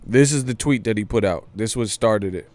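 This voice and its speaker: A man's voice: fairly low pitch, moderate pace, darkish tone, no room sound. A man hosting a boxing news livestream, talking casually in slang with some swearing and repeating phrases for emphasis. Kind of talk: casual live-stream commentary.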